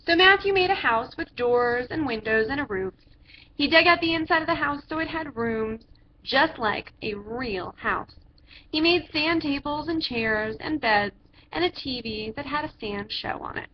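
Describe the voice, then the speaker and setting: Female storyteller, high-pitched, theatrical read-aloud for kids; muffled, noisy home-grade recording. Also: expressive. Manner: theatrical, drawn-out phrases with emphatic character voices. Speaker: storyteller reading to children. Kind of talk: animated picture-book read-aloud. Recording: dull lo-fi sound with background noise.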